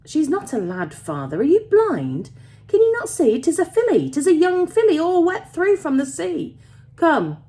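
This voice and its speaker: A kind young working man from a storybook as a woman narrator puts him on, protective and earnest. He speaks Yorkshire-accented dialect in a coaxing way, with pitch that rises and falls freely. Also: expressive, moderate pace, darkish tone, natural voice. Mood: surprised, welcoming. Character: kind young male tin miner, protective, reassuring.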